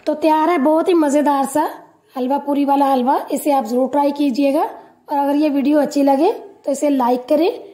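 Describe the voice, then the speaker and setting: A woman hosting a cooking channel, calmly giving step-by-step instructions in a tutorial voice-over. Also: sits high, normal speed.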